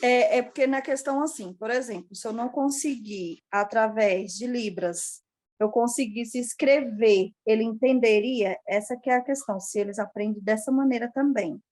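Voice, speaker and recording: A woman with a Brazilian Portuguese accent, a student on a video call, asking a question in a halting, hesitant way. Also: fairly high pitch, a little mellow.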